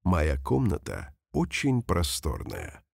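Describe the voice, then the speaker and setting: A man reading example sentences slowly and carefully for language learners, saying each one twice. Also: low voice, wildly swooping pitch, gravelly, no room sound, clean studio sound. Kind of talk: slow repeated phrase reading for learners.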